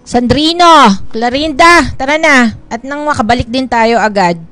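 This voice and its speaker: A woman playing a brisk, snobbish, scolding mother in a Filipino radio drama. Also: sits high, a bit bright. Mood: brisk, hurrying.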